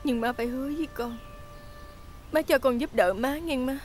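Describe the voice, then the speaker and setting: An actress playing a devoted, emotional grown daughter who pleads tearfully. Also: female voice, sits high, moderate pace, a little hiss or hum, close to an everyday voice. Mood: pleading. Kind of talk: stage drama acting. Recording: music behind the voice.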